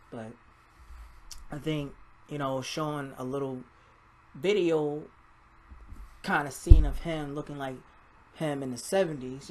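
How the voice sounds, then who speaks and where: A man's voice: medium pitch, a little hiss or hum, rough recording. A man giving a casual, rambling movie review in an informal, mildly profane vlog style. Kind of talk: casual spoiler-filled film review vlog.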